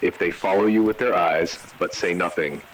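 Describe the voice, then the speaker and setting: A man voicing a grave emergency announcer in an analog horror video, giving measured, solemn warnings. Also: low voice, slight background noise, lightly acted, poor audio. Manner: measured, ominous, short solemn sentences. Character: grave male emergency broadcast announcer issuing eerie warnings. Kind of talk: analog horror scripted narration.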